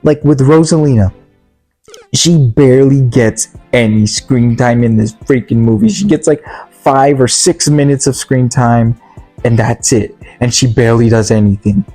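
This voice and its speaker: A reviewer giving a casual, opinionated movie rant in an exasperated, halting way, often repeating words. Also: mid-range voice, mellow, faint music behind. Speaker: video reviewer.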